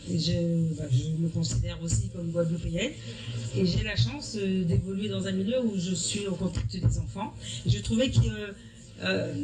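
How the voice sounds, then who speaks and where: A woman's voice: medium pitch, a little mellow. A middle-aged woman from Africa, a teacher answering interview questions earnestly and often restarting her sentences. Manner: earnest, explanatory, with frequent restarts. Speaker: history-geography teacher. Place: evening school event. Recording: distant mic with noisy room.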